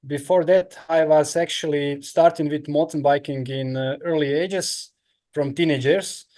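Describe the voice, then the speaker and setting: Croatian guy in his 30s, kinda high voice, halting english with lots of uhs. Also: steady tone, darkish tone. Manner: halting non-native English with many fillers. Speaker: guest, professional triathlete. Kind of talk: casual interview answers.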